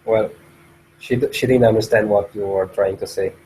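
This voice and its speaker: Dark, mellow-voiced man in casual debate. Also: fairly low pitch, steady tone, moderate pace, low-quality recording. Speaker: discussion participant. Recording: slight background noise.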